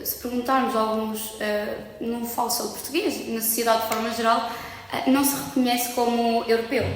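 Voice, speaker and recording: A woman's voice: fairly high pitch, slight background noise. A young woman hosting a student podcast interview, hesitant and often searching for her words. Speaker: podcast host, student association member. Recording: echoey room with long reverb.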